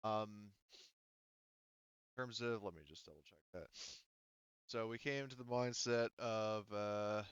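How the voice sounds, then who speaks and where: Smooth-voiced man running a tabletop game. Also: lowish voice, measured pace, long pauses, fairly bright tone, no room sound, lo-fi sound. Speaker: game master. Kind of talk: casual tabletop game narration.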